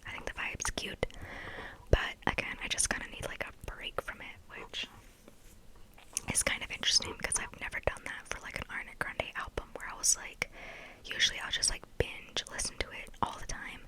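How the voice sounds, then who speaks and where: A young woman from Texas chatting casually in a soft whisper for ASMR, full of "like" fillers. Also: brisk, poor audio. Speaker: ASMR creator.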